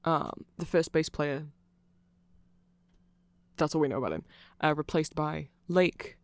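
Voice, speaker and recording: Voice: mid-range voice, animated, a little slow, no echo. A performer voicing a nerdy vampire fan in a rambling, apologetic, digressive ASMR explanation. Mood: rambling, explanatory.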